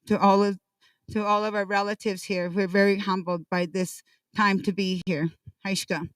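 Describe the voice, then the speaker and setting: A woman's voice: medium pitch, little pitch movement, smooth, no room sound. Earnest woman addressing a ceremony. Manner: earnest, repetitive, halting. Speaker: ceremony speaker. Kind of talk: ceremonial speech. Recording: distant dry pickup.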